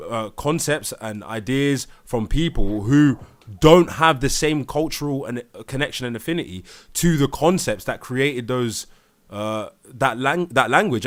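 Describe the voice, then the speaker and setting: A man arguing in a heated live debate, insistent and repetitive, with many fillers and restarts. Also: mid-range voice. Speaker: debate participant.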